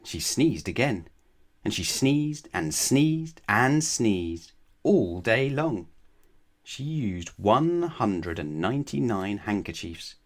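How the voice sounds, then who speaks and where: A man reading a children's storybook aloud in a measured, expressive way with emphatic phrasing. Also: fairly low pitch. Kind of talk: children's bedtime story narration.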